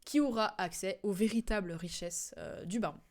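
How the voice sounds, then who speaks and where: A woman's voice: mid-range voice, moderate pace. A young woman streams casual commentary on video game cutscenes in a mid-range voice. She explains things in a loose, rambling way, with plenty of slang and an informal, chatty delivery.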